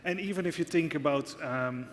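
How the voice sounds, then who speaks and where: A man's voice: a little high, normal speed, slight background noise. A man from the Netherlands with a Dutch accent, speaking earnestly as a panel speaker. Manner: earnest, repeats words when starting. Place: large hall, conference stage. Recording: hall reverb, faint ambience.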